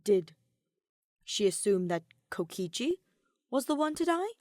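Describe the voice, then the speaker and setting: A woman reading scripted fiction as an expressive audiobook narrator. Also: medium pitch, measured pace, no echo, clean studio sound. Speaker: podfic narrator.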